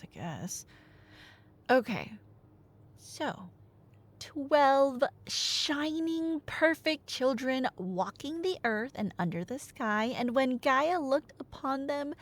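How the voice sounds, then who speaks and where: A woman's voice: high-pitched, exaggerated voice. A woman playing a nerdy, teasing girlfriend in an ASMR roleplay, rambling through Greek myths with dramatic narration and casual sarcastic asides. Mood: flustered, teasing. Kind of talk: ASMR roleplay storytelling to a listener.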